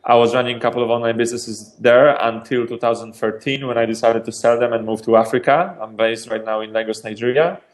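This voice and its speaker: A man from Poland, a startup founder answering interview questions in a lively, energetic way, often restarting and repeating words. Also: medium pitch, quick pace, hardly any pauses, low-quality recording. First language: Polish.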